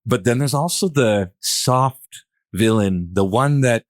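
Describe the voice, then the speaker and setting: A man's voice: medium pitch, takes it slowly, no room sound, clean studio sound. A man hosting a podcast in casual discussion, rambling and searching for words as he thinks aloud. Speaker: podcast host.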